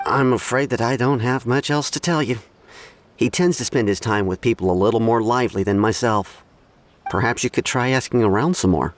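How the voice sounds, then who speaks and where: A man's voice: mid-range voice, brisk, slight background noise, natural voice. A man playing an easygoing townsman in a video game, sharing gossip in a conversational, hedging manner. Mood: thoughtful. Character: easygoing, watchful townsman passing on gossip, measured.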